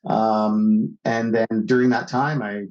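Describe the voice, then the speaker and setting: A man's voice: lowish voice, flat delivery, slow, a little mellow, lo-fi sound. A man in his forties from Canada, a podcast guest telling stories in a loose, rambling way with lots of fillers. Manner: rambling storyteller, leans on fillers. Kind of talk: casual conversation.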